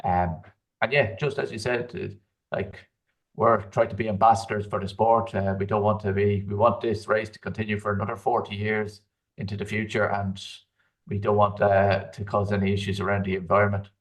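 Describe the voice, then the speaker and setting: A man who is a triathlete and guest coach, giving relaxed advice full of "like" and "um". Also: fairly low pitch, normal speed, recorded from a distance. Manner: relaxed, fillers like "like" and "um". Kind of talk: casual advice chat.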